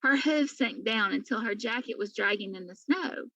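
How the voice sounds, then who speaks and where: A woman's voice: a little high, normal speed, fairly bright tone, dry recording, dull audio. A woman reading a children's story aloud as a teacher would for pupils. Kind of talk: storybook read-aloud narration.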